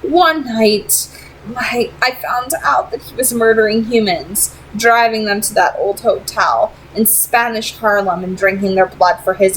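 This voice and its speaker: A woman's voice: mid-range voice, a little hiss or hum, natural voice, low-quality recording. A woman voicing a proud, secretive female vampire who leads a clan and speaks formally and persuasively in a read-aloud story. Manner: formal, deliberate, dramatic phrasing.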